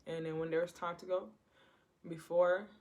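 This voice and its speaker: A woman's voice: fairly low pitch, fairly even intonation, normal speed, darkish tone. A woman giving an informal Bible teaching in a conversational way, repeating her phrases and acting out quotes. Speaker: preacher.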